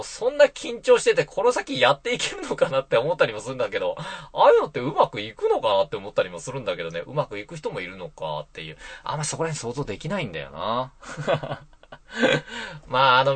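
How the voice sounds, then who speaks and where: A man's voice: medium pitch, fairly bright tone, dry recording. A man in his thirties hosting an internet radio show, rambling casually to listeners in a musing, self-deprecating way.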